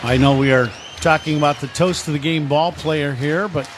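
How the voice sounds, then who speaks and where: A man's voice: on the high side, fairly even intonation, moderate pace, crowd noise. A man giving conversational colour commentary on a live baseball radio broadcast. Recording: clear ballpark noise behind. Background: outdoor stadium ambience.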